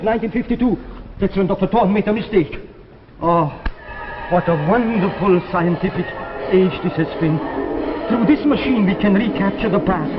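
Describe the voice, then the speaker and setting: An actor playing a weary, solemn elderly scientist of a doomed future in a 1950s science fiction drama, speaking with theatrical deliberation. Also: male voice, sits high, lightly acted. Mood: resigned, explanatory. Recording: muffled vintage 1950s broadcast, reverberant, music behind.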